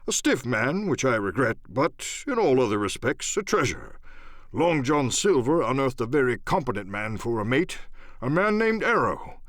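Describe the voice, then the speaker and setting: A man voicing a hearty, excitable English squire in an audiobook, blustering and emphatic as he reads out a letter. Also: medium pitch, moderate pace, dry recording, clean studio sound. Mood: enthusiastic, confiding. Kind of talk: dramatized audiobook character acting.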